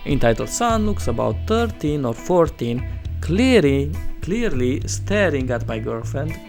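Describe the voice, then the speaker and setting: Non-native English-speaking man, lively swooping delivery, slightly high voice, casual story host, guitar music behind. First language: not English. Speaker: host reading online stories aloud. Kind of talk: story narration with casual reactions.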